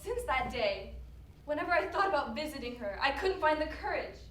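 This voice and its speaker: Woman playing a protective, accusing friend, stage play, bright forceful voice, dramatic pauses, very echoey, far from mic. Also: high-pitched, sing-song. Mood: hurt, bitter. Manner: emphatic, dramatic confrontation with pauses. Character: Caroline, accusing, protective best friend of an abused wife. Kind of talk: student one-act stage play acting. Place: stage in an echoey room, recorded from a distance. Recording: very echoey hall, far mic, faint noise.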